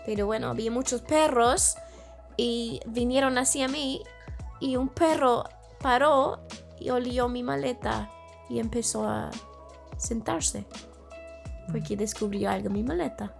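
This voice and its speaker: Young irish woman, english first language, fairly high gravelly voice, chats in spanish with a chilean lilt, faint music underneath. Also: unhurried, pauses often. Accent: Chilean-inflected Spanish learner. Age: young adult. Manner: searches for words, restarts, laughs easily. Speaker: interview guest. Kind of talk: casual storytelling.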